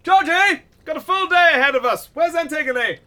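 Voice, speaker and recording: A man's voice: very high voice, normal speed, noisy background. An actor in his thirties with an English accent, playing a brusque, callous undertaker in a comic audio drama, pompous and exasperated. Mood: brisk, bossy. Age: 30s.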